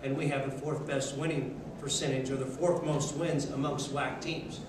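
A middle-aged man, a basketball coach, answering reporters after a game at a press table, steady and measured, often repeating words as he thinks. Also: medium pitch. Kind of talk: postgame press conference. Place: press conference room, seated at table. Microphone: table mic. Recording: echoey room, mic from a distance, noisy.

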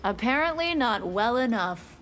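A woman's voice: on the high side, lively intonation, measured pace, a bit bright. A woman playing a haughty, predatory vampire villain in a fighting game, menacing in manner. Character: bloodthirsty female vampire villain, predatory and proud. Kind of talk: fighting game character dialogue. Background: game music.

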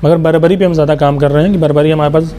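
Fast-talking young Uttar Pradesh man. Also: a little high, steady tone, mellow, slight background noise. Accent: Uttar Pradesh Hindi. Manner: quick, salesman-like, repeats fillers. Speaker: goat farm owner. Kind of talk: casual walkthrough interview. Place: outdoor goat farm pens.

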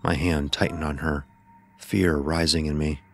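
Blind son, very deep bass, solemn horror narration, fearful and somber, music underneath. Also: male voice, fairly even intonation, unhurried. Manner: solemn, literary. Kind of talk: scripted first-person horror narration. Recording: voice over background music.

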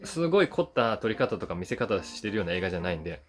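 Low-voiced man with very lively intonation. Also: quick pace. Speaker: YouTube host reviewing films, voice actor. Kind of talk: casual film review monologue to camera. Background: background guitar music.

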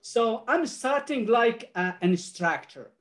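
An older man whose first language is Arabic speaks on a video call from a home office. He talks in a halting, earnest way, full of restarts and fillers, as a panelist giving his remarks. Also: very high voice, expressive, a bit bright.

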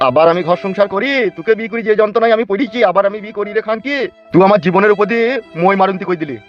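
A voice performer playing a grumpy, foul-mouthed old husband in a cartoon, shouting crude insults at his wife. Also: medium pitch, rapid-fire, pitched below the performer's own voice, natural voice. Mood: cursing, grumbling. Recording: slightly dull lo-fi audio. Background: light background music.

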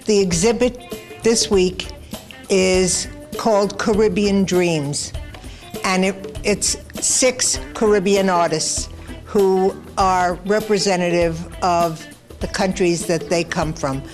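A woman's voice: medium pitch, fairly even intonation. A woman hosting a gallery event, chatting with artists on a handheld mic. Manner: chatty, warm compliments, quick interjections. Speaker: host. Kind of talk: casual on-camera interviews. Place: indoor art gallery at an evening event. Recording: crowd chatter and music behind.

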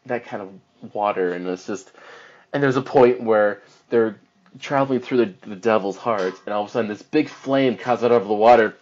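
A man co-hosting a film podcast, rambling casually through a movie recap. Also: mid-range voice. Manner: rambling, animated, slips into silly put-on voices for characters. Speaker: podcast co-host reviewing films. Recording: dull lo-fi podcast audio.

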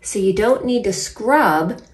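A woman in her forties chatting casually in an expressive, emphatic way, as a home cleaning vlogger. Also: medium pitch, slow pace. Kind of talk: casual vlog chat and narration while cleaning. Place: small tiled bathroom, indoors. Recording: roomy bathroom echo, distant mic.

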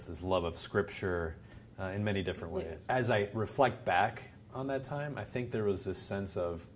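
A man's voice: low-pitched, a little hiss or hum. A man in his thirties from Puerto Rico, a native Spanish speaker, giving thoughtful answers as a seminary professor in a seated interview in a living room. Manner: thoughtful, gesturing with both hands while speaking. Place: living room by a large window.

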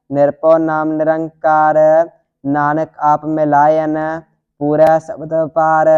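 A student reciting scripture line by line in a steady, deliberate devotional monotone. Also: medium pitch, smooth. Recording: dull lo-fi audio.

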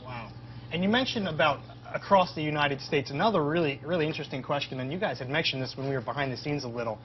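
A man's voice: a little high, fast talker. Upbeat male host over background noise. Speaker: host, interviewer. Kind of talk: presenting an educational virtual tour. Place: semi-open metal farm shed. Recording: dull audio with clear background noise.

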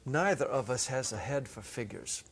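A middle-aged man narrating a story dramatically from a script, with deliberate phrasing and pauses. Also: medium pitch, husky. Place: small indoor stage set. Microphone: clip-on lapel mic.

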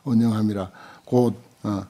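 Older man, Buddhist monk lecturer, raspy, soft dark tone, halting conversational delivery. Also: on the low side, fairly even intonation. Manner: halting, conversational, with frequent pauses and short interjections. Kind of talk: informal dharma lecture with commentary on a text. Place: lecture room at a temple center, seated at a desk. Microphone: desk gooseneck microphones close in front.

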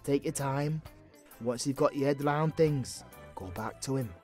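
A British man playing a gruff but kindly old pub landlord, chatty, blunt and sweary, in a casual audio roleplay. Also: mid-range voice, measured pace, a little mellow, faint music behind, close to an everyday voice. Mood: easygoing, reassuring.

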